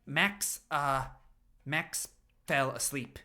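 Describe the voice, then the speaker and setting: A man voicing a nervous, nerdy, soft-hearted young man who speaks hesitantly and stammers in a story reading. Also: medium pitch, very slow, voice pushed up, heavily acted. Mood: flustered, reluctant.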